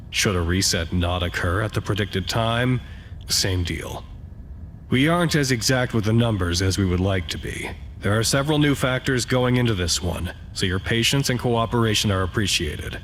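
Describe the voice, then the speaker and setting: An actor playing a wry, excitable staff announcer at a secret research facility in an audio drama, his formality prone to fluster. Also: lowish voice, slight background noise.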